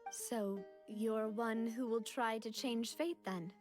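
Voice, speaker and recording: Young noble lady in a game, grieving but determined, highish earnest voice, music behind. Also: steady tone, slow pace, a little mellow. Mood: thoughtful. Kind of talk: video game voice acting.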